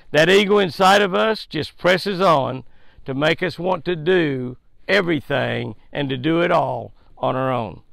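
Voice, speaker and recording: A man's voice: fairly high pitch, vocal fry, a bit bright, dry recording. An older man hosts a daily devotional with a fishing tip, preaching in a folksy, emphatic way and repeating his points, recorded outdoors by a lake on a headset mic. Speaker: fishing show host.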